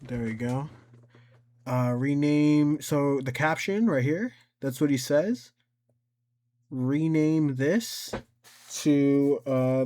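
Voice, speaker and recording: A man giving a casual software tutorial, rambling and thinking aloud as he works through each step. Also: fairly high pitch. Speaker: tutorial presenter.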